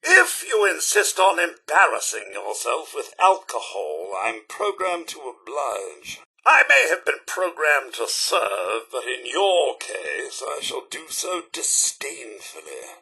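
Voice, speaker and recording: A man's voice: medium pitch, huge pitch swings, slow pace, creaky, sharp tone, breathy edge, exaggerated voice. A man playing a fussy, prim robot butler in a video game, with a pompous, over-polite, theatrical delivery. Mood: snide, disdainful. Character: fussy, prim male robot butler, servile yet snide.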